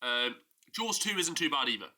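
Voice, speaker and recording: A man's voice: medium pitch, big pitch swings, normal speed, sharp tone. Man ranting about a film with a cold. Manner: exasperated, emphatic, mocking. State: stuffy nose from a cold. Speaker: film review YouTuber. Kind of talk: casual film rant.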